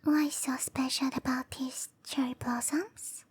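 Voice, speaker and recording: A woman voicing a shy, gentle, scatterbrained cherry blossom dryad girl in a hesitant, apologetic whisper for roleplay ASMR. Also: high-pitched, steady tone, unhurried, exaggerated voice. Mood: gentle, explaining. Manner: hesitant, apologetic, breathy whispering with soft giggles.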